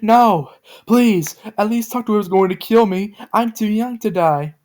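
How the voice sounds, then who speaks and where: A man voicing a frightened young girl who pleads in a high, shrill voice. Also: unhurried, a little mellow. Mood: pleading.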